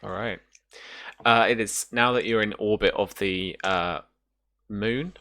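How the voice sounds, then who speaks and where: A man's voice: fairly low pitch, a little slow, sharp tone. A man casually narrating and explaining rules as a game master, feeling his way through explanations piece by piece.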